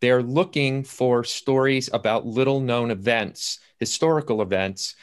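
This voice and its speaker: A man's voice: mid-range voice, a little slow, smooth. An older man, a playwright, telling a story casually as a panelist on a video call. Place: indoors at home on a video call.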